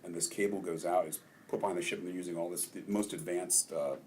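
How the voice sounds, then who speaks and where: An older man from Maryland, a ward councilman, telling relaxed stories in a casual interview and chuckling often. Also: low-pitched, quick pace, slight background noise.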